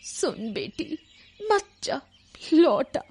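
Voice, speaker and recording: A woman's voice: sits high, sing-song, drawn-out words, creaky, mellow, voice pushed up, full character voice. A woman voicing a sorrowful village mother in a cartoon story, pleading tearfully.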